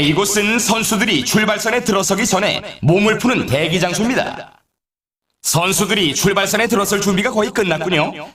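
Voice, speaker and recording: A man's voice: high-pitched, fairly bright tone. A man playing an upbeat, showy sports announcer in a video game, with polished, scripted commentary delivery. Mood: presenting. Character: male snowboard race announcer, upbeat and showy. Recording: echoey, distant-sounding game audio.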